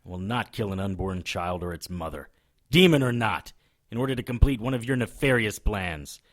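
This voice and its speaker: A man's voice: on the low side, expressive, normal speed, lightly acted. Man playing a sardonic hardboiled detective. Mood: defiant. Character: wisecracking, defiant hardboiled male occult detective. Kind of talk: audio drama acting.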